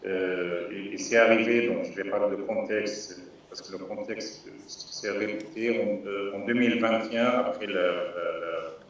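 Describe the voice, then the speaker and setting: Palestinian man speaking over an echoey call. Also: on the low side. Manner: halting, many restarts and fillers, earnest. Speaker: guest speaker on a live call. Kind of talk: informal live talk. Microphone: remote video call. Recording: distant, echoey, dull lo-fi call audio with faint noise.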